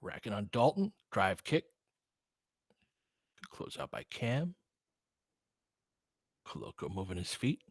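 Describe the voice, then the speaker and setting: A man's voice: fairly low pitch, sing-song, slow, long pauses, breathy edge, no echo. Guy doing casual hoops play-by-play and chatting w fans, clipped calls, close dry sound. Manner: informal, conversational, clipped phrases on plays. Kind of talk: casual basketball commentary mixed with chat replies.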